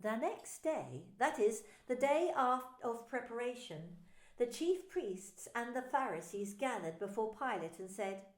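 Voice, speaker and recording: Female vicar narrating scripture, fairly high voice, roomy echo. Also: big pitch swings, unhurried. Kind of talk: dramatised Bible reading narration.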